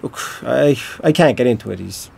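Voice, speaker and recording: An actor playing an earnest young film-maker from Cork with an Irish accent, hesitant and informal, tactful but probing in a naturalistic audio drama. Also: male voice, medium pitch, expressive, normal speed, creaky, dark tone, slightly rough, exaggerated voice. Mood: guarded, probing.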